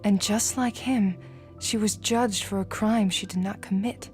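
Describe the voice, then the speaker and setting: A woman's voice: medium pitch, fairly even intonation, measured pace, darkish tone, faint music behind, natural voice. An actress playing an earnest, questioning younger woman in a video game drama, speaking intently.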